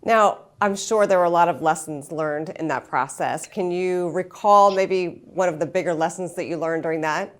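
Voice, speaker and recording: Woman, interview host, friendly prompting, rephrases questions, low-pitched. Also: normal speed, few pauses.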